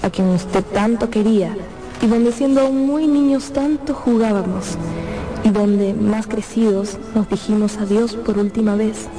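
An actress playing a tender, dreamy young opera singer in a radio drama, earnest and wistful. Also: female voice, medium pitch, unhurried, over music, lightly acted, poor audio.

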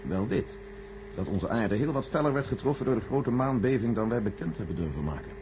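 A man playing a measured, secretive senior official in a science-fiction radio play, formal, deliberate and condescending. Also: lowish voice, expressive, lightly acted. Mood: grave, defensive. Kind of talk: radio drama acting. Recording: noisy old radio recording with hum.

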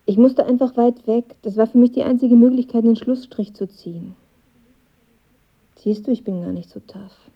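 An actress playing a guarded, vulnerable young woman in a radio drama, confiding in a hushed, breathy voice. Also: on the high side, fairly even intonation, normal speed, muffled recording. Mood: hurt, confiding. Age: young adult. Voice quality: breathy, husky.

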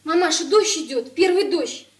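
Very high-voiced, listless young girl. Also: expressive, moderate pace. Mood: dreamy. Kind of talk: Soviet radio play acting. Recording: roomy, distant from the microphone.

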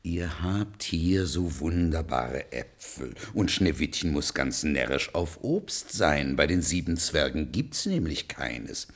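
A man's voice: very deep voice, sing-song, slow, few pauses, heavily acted. An actor playing an obsequious, scheming royal huntsman in a radio play, with a servile, insinuating manner. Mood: sly, servile.

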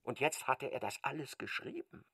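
An observant literary narrator in a radio play. His mid-pitched voice has a creaky grain and moves expressively up and down. Every phrase is articulate and measured, recorded very dry. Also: wildly swooping pitch, sharp tone, dry recording, full character voice. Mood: precise, wry. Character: precise, observant third-person male narrator of a novel.

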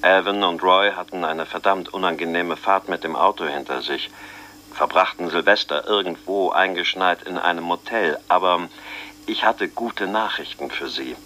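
An actor playing a weary but determined middle-aged detective who speaks in a brisk, matter-of-fact way. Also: male voice, low voice, sharp tone, a little hiss or hum.

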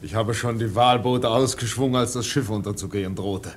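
An actor playing a seasoned, unruffled whaling mate in a German radio drama, speaking in a dry, matter-of-fact way. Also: male voice, lowish voice, fairly even intonation, fairly quick, slight background noise, natural voice. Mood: stoic, dry.

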